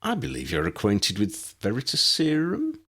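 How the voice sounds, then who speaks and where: A man's voice: lowish voice, wildly swooping pitch, normal speed, pushed and bright, breathy edge, voice pushed up, full character voice. A man putting on a nervous young wizard. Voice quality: nervous, eager.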